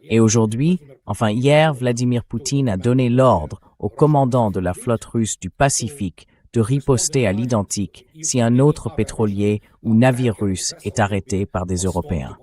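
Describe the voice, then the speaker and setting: A man's voice: medium pitch, moderate pace, few pauses, mellow. A man reading a translated interview as a guest commentator, speaking bluntly and emphatically about military affairs.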